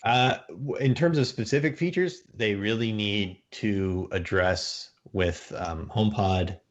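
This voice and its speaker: A man's voice: fairly low pitch, measured pace, muffled recording. A man discussing technology casually as a podcast panelist.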